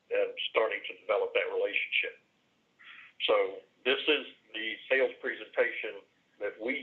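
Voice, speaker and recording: Male presenter, low voice, halting conversational delivery, heard through a narrow phone line. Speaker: business presenter, managed IT services provider. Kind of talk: sales presentation on a conference call. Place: conference call. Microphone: telephone. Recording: tinny band-limited telephone line.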